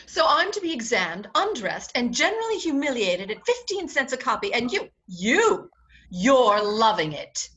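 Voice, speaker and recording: Woman playing a high-voiced, haughty society bride. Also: big pitch swings, moderate pace, fairly bright tone, muffled recording. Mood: shocked, bitter. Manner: imperious, cutting, theatrical. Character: haughty, sharp-tongued society bride, indignant and proud. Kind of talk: 1940s radio comedy acting.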